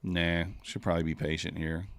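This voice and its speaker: A man's voice: low-pitched, monotone, brisk, a bit bright, smooth, dry recording. A man streaming live, chatting casually and thinking aloud with many fillers as he trades. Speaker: streamer trading futures live.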